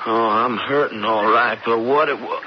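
A man's voice: mid-range voice, moderate pace, lightly acted. A man playing a feverish, wounded, remorseful American outlaw, weak and in pain, in a radio drama. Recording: muffled radio broadcast, loud noise.

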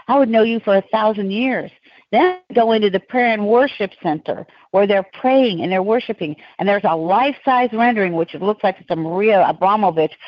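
A woman guest on a podcast, telling long rambling stories in an excitable way with many restarts, and she has a loud voice. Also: medium pitch, no echo, muffled recording. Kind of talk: casual podcast conversation.

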